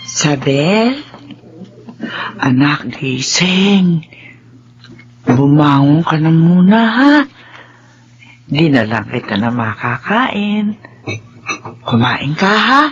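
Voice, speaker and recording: Slow, coaxing, anxious mother. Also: sits low, sing-song, lo-fi sound, faint music behind, heavily acted. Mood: coaxing, tender. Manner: coaxing, pleading. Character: doting, anxious mother tending her child. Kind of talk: radio drama acting.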